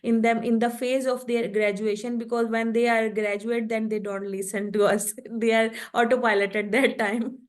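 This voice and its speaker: A woman whose first language is Urdu answers questions after her online lecture, pausing often with fillers. Her voice sits a little high. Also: flat delivery. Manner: hesitant, many fillers. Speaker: guest lecturer. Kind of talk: online webinar Q&A.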